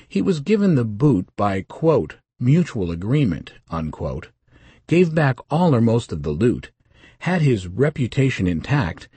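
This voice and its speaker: Older Swiss man, memoir reader, dry measured delivery, mellow tone, dry close sound. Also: mid-range voice, slightly rough, no room sound. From Switzerland. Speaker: memoir narrator. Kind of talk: scripted first-person narration.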